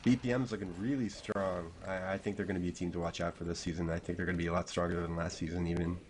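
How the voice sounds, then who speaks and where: A man's voice: low-pitched, level tone, fast, a little hiss or hum. A young man commenting on a live video game match in an easygoing way. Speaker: esports caster.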